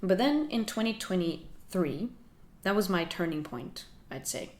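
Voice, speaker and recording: A young woman talks through her learning journey for her channel. She is soft-spoken and reflective, with a slightly low voice, and she laughs lightly as she goes. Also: lively intonation, measured pace. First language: Finnish. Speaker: channel host. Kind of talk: casual talking-head vlog.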